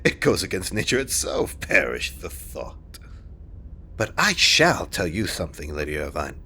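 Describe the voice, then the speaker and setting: A man's voice: deep voice, big pitch swings, measured pace, bright, forceful tone, slightly rough, exaggerated voice. A man playing a haughty, disdainful king in a fantasy audio drama, with a pompous, condescending manner. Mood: smug, scornful. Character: haughty, disdainful king, imperious and old-fashioned.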